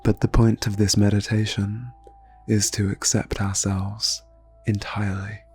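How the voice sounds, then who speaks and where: Hushed, breathy man guiding meditation. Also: on the low side, level tone, faint music behind. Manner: slow, soothing, deliberate phrasing with long pauses. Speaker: guided meditation host. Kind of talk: ASMR guided meditation, soft-spoken, often near whisper.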